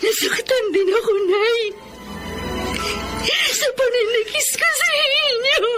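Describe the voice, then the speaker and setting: A woman's voice: very high-pitched, slow pace, music in the background. A woman playing an earnest, respectful young housemaid and daughter in a Filipino radio drama, with a Filipino accent and an emotional delivery. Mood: tearful remorse.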